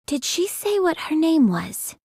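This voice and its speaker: An actress voicing a compassionate, level-headed young woman soldier in a video game, soft and breathy, protective of others. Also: high voice, sing-song, measured pace, no room sound, clean studio sound, exaggerated voice. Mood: subdued. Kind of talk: dramatic game voice acting.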